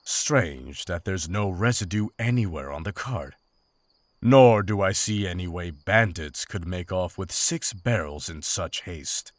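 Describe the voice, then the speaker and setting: A man's voice: sits low, expressive, a little slow, dry recording. A man playing a grave, principled monster hunter in a fantasy visual novel, speaking in terse, formal lines. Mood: grave, measured. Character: stern, principled monster hunter, weary and commanding.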